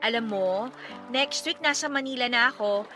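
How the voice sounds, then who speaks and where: Bright, high-voiced young woman in radio drama acted. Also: normal speed, faint music behind. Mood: playful teasing. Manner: playful, Taglish-mixing speech. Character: teasing, forgiving young woman talking with an old flame. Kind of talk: Filipino audio drama dialogue.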